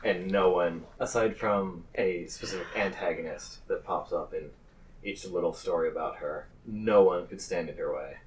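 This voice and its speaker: A man narrating a tabletop roleplaying game as game master, pausing between phrases. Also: low voice, distant mic, a little hiss or hum, rough recording.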